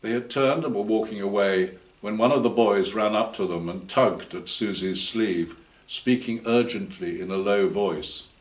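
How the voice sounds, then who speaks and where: An older man reading his short story aloud in a measured, deliberate way, indoors. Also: on the low side. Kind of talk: scripted story narration. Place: indoor room before a banner. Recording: roomy indoor echo.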